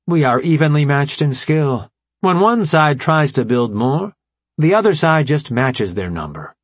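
A man voicing a formal, logical artificial intelligence robot leader in an audiobook, explaining things in precise, measured language. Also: on the high side, sing-song, no echo, voice pushed up. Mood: solemn, explanatory. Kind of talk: audiobook character dialogue.

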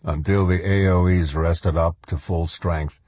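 Man as a deep, commanding, ruthless colonel. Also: fairly even intonation, unhurried, darkish tone, dry recording. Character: commanding male army colonel, ruthless, coolly self-assured fanatic.

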